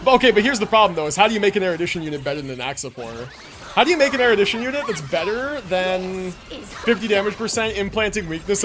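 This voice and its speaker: A man livestreaming a game, commenting casually and answering chat, slangy and sweary as he thinks aloud. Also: high voice.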